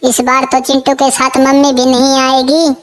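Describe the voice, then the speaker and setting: A woman's voice: sits high, monotone, moderate pace, background noise, close to an everyday voice. Woman doing a whiny cartoon girl. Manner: whiny, pleading. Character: pushy little girl who plays pranks. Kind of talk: cartoon voice acting. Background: music.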